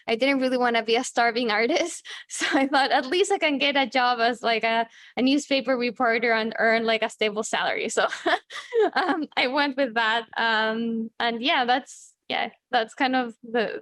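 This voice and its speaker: A woman in her thirties from Chile, a native Spanish speaker, talking casually as an interview guest, halting with many fillers and restarts. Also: on the high side, fairly bright tone, dry recording, studio quality. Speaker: guest, journalist and author.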